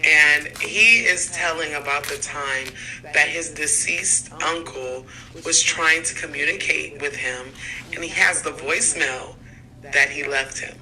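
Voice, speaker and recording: Male online host, higher-pitched and very sharp, bright delivery, casual commentary. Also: measured pace, poor audio. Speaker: video host presenting paranormal clips.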